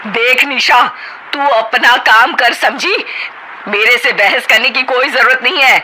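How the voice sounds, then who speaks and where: A woman's voice: on the high side, a little slow, bright, forceful tone, a little hiss or hum, pitched above the performer's own voice, poor audio. A woman voicing a stern older mother-in-law who scolds and brushes others off. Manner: scolding, dismissive.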